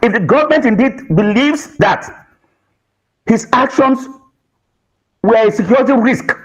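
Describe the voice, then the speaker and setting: High, animated, husky Nigerian man. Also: a little slow, poor audio. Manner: emphatic, repetitive, with rhetorical questions. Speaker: panel guest on a political TV talk show. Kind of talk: political panel argument.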